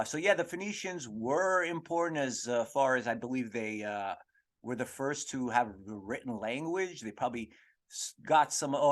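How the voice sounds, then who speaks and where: A man hosting a podcast, giving casual comedic commentary in a rambling, halting way with crude slang. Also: medium pitch. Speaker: podcast host.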